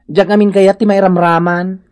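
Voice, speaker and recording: A man's voice: high-pitched, flat delivery, normal speed, a little mellow, close to an everyday voice. A man with a northern Philippine accent playing an easygoing, camp hairdresser in a comedy radio drama. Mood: dismissive.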